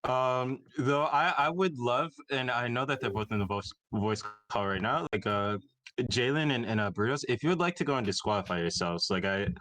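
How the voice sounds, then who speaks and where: A man's voice: medium pitch, expressive, moderate pace, fairly bright tone, low-quality recording. A man hosting a chess stream and interviewing a player casually, friendly and joking, with some repeated words. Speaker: stream host.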